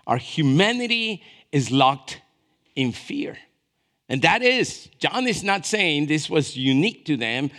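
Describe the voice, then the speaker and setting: A pastor in his fifties with a notably high voice for a man. He preaches in a conversational, storytelling way, checking in with short questions and moving from quiet asides to raised, dramatic emphasis. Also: very animated, takes it slowly. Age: 50s.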